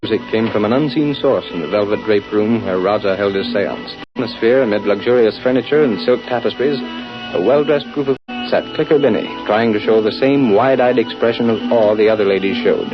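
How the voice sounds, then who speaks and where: A man with an American accent narrates a vintage radio drama in a lowish voice. Music plays behind him, and the old recording is muffled. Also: a little fast.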